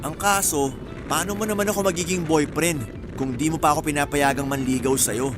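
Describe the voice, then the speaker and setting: Guy acting as persistent lovestruck suitor, kinda high voice, jokey and flirty, radio drama w/ music. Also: fairly quick, lightly acted. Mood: playful pleading. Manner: teasing, flirtatious, easygoing. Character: persistent, cheeky, smitten male suitor, hardworking rice hauler.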